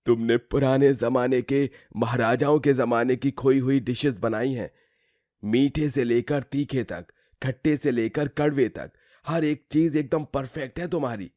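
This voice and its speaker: A man's voice: medium pitch, measured pace, mellow, no room sound, clean studio sound, natural voice. A man playing a grand, effusive master chef who judges a contest, speaking emphatically in a story drama. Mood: admiring. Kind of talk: dramatized Hindi moral story.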